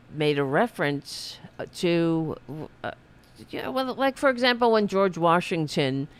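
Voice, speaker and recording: Slow, smooth-voiced ranting man. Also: on the high side, fairly bright tone. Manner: impassioned, ranting, many restarts. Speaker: podcast host. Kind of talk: political commentary monologue.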